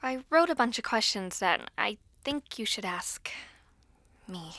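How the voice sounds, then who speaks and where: An earnest, nervous schoolgirl spellcaster, played by a woman, with a bright voice and an unhurried pace. She talks haltingly and close to the listener, as in an intimate audio roleplay, in a very dry recording. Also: mid-range voice, animated, dry recording. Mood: nervous, preparing. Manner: hesitant, halting, soft-spoken asides. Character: earnest, nervous school-age girl dabbling in ritual spells.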